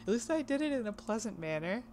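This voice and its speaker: A woman with a husky voice chatting casually to her online audience during a live stream, rambling, trailing off and restarting, laughing often. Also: fairly high pitch, sing-song, fast, a little mellow, slight background noise.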